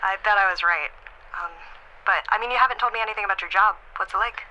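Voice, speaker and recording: A woman's voice: mid-range voice, fast, sharp tone. An actress playing an affectionate, teasing young girlfriend on a phone call in a naturalistic audio drama, talking casually. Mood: teasing, curious. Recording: clear noise, phone call ambience.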